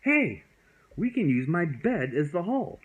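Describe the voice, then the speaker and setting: A man's voice: on the high side, very animated, unhurried, muffled recording, pitched above the performer's own voice. A man voicing a timid but eager little boy in a bedtime storybook. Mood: excited.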